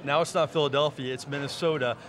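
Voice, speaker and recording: A middle-aged man hosting a sports show, asking brisk questions into a handheld mic on a studio set. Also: a little high, steady tone, background noise. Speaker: sports TV host. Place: studio set with team backdrop, indoors. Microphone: handheld microphone.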